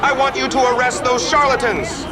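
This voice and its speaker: A man's voice: extremely high pitch, level tone, normal speed. A man playing a pompous, self-serving, indignant politician with an officious manner in a motion comic. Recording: loud crowd and music behind.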